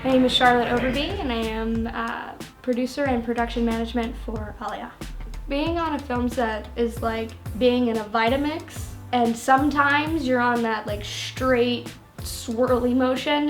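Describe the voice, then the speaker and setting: A woman's voice: fairly high pitch, fairly even intonation, normal speed, soft background music. A woman producer speaking in a behind-the-scenes interview, reaching for vivid comparisons.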